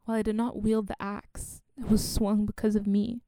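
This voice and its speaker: An actress playing a solemn, resolute woman in a radio play, speaking in a measured, earnest way. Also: medium pitch, level tone, warm tone, dry recording, studio quality, natural voice. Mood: solemn, resolved. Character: solemn, resolute woman confessing a long-hidden identity and grief.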